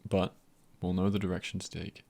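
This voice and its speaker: A very deep male voice with a gravelly crackle from a man acting it out. He is an easygoing, comforting friend in an ASMR roleplay. He talks gently and unhurriedly, husky and breathy, with a playful, teasing streak. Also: level tone. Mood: relaxed, lightly joking. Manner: gentle, hesitant pauses, lightly teasing.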